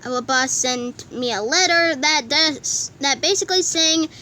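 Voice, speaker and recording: Despairing boombox, cartoon, female performer: bitter, morose grumbler with a bright, high tone. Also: measured pace, slight background noise. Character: gloomy, bitter boombox in existential crisis. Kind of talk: cartoon comic dub.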